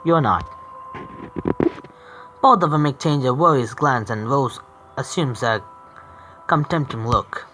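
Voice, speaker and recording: A man streaming a visual novel game, reading the narration aloud haltingly, stumbling over words and correcting himself. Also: medium pitch, sharp tone, soft background music.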